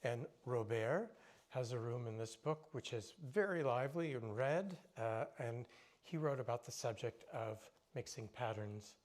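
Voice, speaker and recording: A man's voice: medium pitch, expressive, a little slow, a little mellow. Man, mid-range voice, moderator, conversational, asks questions, light fillers. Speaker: moderator and author. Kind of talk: panel discussion.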